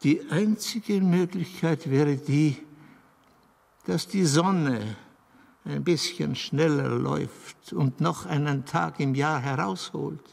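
Fairy-tale Moon for radio drama: gravelly, fairly high, patient and explanatory. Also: male voice, a little mellow, full character voice. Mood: patient, helpful. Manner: deliberate, explanatory, with weighty pauses.

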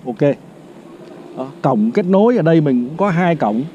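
Man, fairly high, mellow voice, chatty gadget review, dull lo-fi outdoor sound, faint traffic. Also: lively intonation, normal speed. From Vietnam. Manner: chatty, explains step by step, fills with "đó" and "vâng". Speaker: product reviewer. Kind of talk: casual product review vlog. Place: rooftop terrace outdoors in a city. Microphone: clip-on lapel mic.

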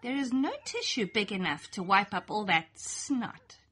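Bright, animated woman reading aloud. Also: mid-range voice, measured pace, husky. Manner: lively, playful, sing-song storytelling. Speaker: storybook reader. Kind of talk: expressive read-aloud children's story in rhyme.

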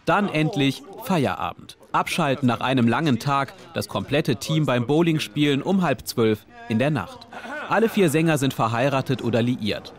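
A man narrating a television documentary in calm, even scripted voice-over. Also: mid-range voice.

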